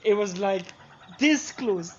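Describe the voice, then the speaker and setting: A woman's voice: medium pitch, lively intonation, slow, lo-fi sound, noisy background. A young woman with an Indian accent, chatting casually and mouthily on a game livestream. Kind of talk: casual livestream chat, mixing two languages. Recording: loud music behind the voice. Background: game music.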